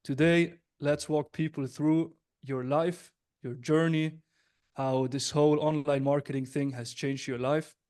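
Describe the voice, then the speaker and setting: A man's voice: on the high side, steady tone, unhurried, a little mellow. A man in his twenties from Switzerland hosting an interview in an upbeat, friendly and direct way, often speaking to the audience. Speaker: host, business coach.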